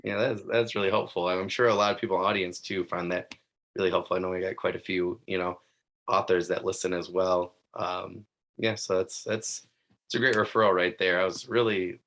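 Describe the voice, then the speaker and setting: Low-voiced enthusiastic man hosting. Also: little pitch movement, brisk, fairly bright tone. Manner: enthusiastic, laughs often, says "super cool". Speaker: podcast host. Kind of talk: casual interview chat.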